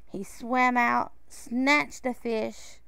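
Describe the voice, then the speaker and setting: A woman reading a children's picture book aloud in a measured, expressive way, with pauses. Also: fairly high pitch, gravelly, clean tone, no room sound. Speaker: storybook reader.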